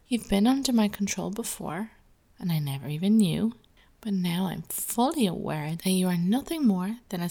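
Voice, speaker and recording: A woman's voice, mellow and a little gravelly, delivering a scripted ASMR hypnosis roleplay. She speaks slowly and with quiet authority, drifting between a soft murmur and a breathy half-whisper. The delivery stays calm, controlled and hypnotic throughout. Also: mid-range voice. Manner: slow, commanding, hypnotic delivery.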